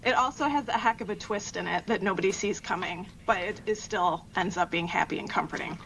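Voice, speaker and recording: A woman's voice: mid-range voice, fairly quick, fairly bright tone, slight background noise, poor audio. A woman author chatting casually as a podcast guest in an agreeable, lightly wry way. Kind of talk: casual chat.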